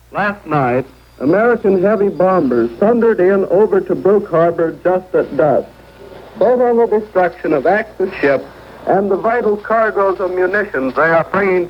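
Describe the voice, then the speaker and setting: A man reading a scripted 1940s radio war report as a news correspondent. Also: high-pitched. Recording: noisy muffled 1940s shortwave radio recording.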